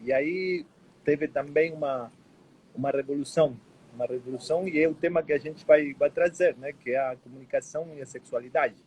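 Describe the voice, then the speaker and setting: A man from Argentina who speaks Portuguese with an Argentine Spanish accent. As the guest on a casual live stream, he talks haltingly and often repeats words while he looks for the next one. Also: on the high side, darkish tone, slight background noise, rough recording.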